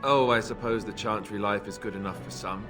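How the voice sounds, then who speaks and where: An actor playing a young, self-deprecating warrior in a video game, casual and wry with an earnest streak. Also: male voice, on the low side, steady tone, fairly quick, music in the background, close to an everyday voice.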